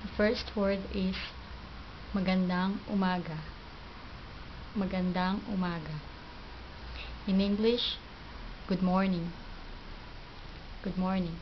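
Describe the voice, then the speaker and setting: Filipino woman giving a homemade phrase lesson, flat careful delivery, muffled recording with hiss behind. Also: medium pitch. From Philippines. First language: Tagalog. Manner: slow, halting, repeats each word twice. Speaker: language teacher. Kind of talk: home video language lesson. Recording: dull lo-fi home video with background noise.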